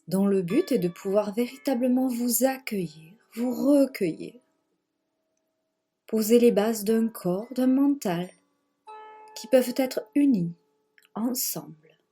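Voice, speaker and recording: A woman leading a guided yoga nidra relaxation in a gentle, measured manner, leaving long pauses and repeating phrases. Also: fairly high pitch, slightly rough. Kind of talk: guided meditation, slow spoken relaxation.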